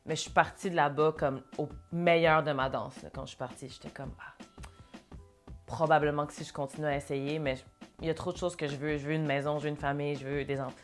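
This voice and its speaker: A Québécois woman with a notably low voice talks casually in an interview and slips English phrases into her French. Faint music plays quietly behind her. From Montréal, Québec. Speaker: interview guest, singer and dancer.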